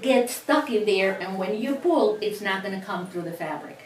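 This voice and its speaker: A woman's voice: medium pitch, lively intonation, moderate pace, recorded from a distance. A middle-aged woman with a Cuban accent teaching a sewing class, explaining each step in a chatty way with frequent restarts. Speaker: sewing instructor. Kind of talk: hands-on teaching demonstration. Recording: echoey room, voice away from the mic, faint ambience.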